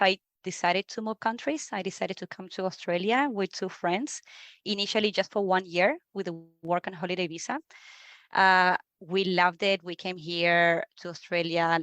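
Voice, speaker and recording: A woman's voice: on the low side, a bit bright, no room sound. Argentine woman, chatty and dry-sounding. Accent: Argentine Spanish. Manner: chatty, repeats words, laughs easily. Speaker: guest, HR leader. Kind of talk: conversational interview.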